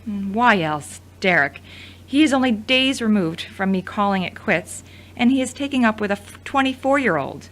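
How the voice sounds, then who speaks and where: A woman's voice: medium pitch, expressive, moderate pace, close to an everyday voice. A woman playing an irritated, defensive, jealous woman in an audio drama, indignant and sarcastic. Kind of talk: scripted audio drama acting.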